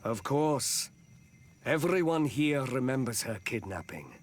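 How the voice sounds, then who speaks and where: An actor playing a measured male clan chief in a video game, solemn and deliberate. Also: mid-range voice, animated. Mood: sombre. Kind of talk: video game cutscene acting.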